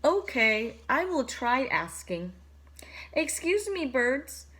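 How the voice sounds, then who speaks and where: A woman's voice: sits high, big pitch swings, slow, fairly bright tone, husky, heavily acted. A woman voicing an anxious male elephant in a storybook, with fretful, exclaiming questions. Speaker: storybook character.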